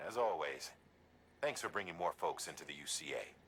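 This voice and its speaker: Very deep, quietly grateful man. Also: expressive, fairly quick. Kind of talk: video game cutscene dialogue. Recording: distant, echoey, very noisy.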